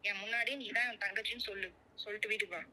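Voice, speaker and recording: A woman's voice: medium pitch, steady tone, rapid-fire, sharp tone, poor audio. A woman in a heated phone argument, speaking in an indignant, scolding and sarcastic manner. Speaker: wife being prank-called by her husband.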